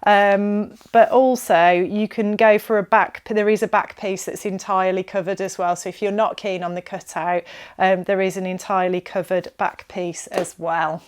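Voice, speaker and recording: A woman's voice: mid-range voice, steady tone, moderate pace, clean tone. Chatty, enthusiastic woman presenter. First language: English. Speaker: presenter of a sewing shop's pattern review video. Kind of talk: casual product review chat to viewers.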